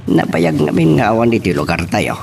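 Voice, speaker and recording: A man's voice: mid-range voice, very animated, fairly quick, vocal fry, a little mellow, soft background music. A man playing an inquisitive father in an Ilocano audio drama. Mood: questioning. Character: inquisitive father of a grown daughter.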